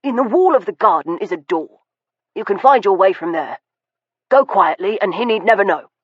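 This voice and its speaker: A woman voicing a stiff, nervous male butler who speaks formally and low, on a dull, dry recording. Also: animated, normal speed, dry recording, close to an everyday voice. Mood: conspiratorial.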